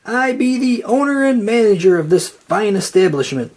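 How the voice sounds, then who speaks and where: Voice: medium pitch, lively intonation, moderate pace, a little mellow, voice pushed up. A storyteller voicing a blustering, greedy male crab who owns a restaurant, in a children's storybook, with a proud, bellowing manner. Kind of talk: children's storybook read-aloud.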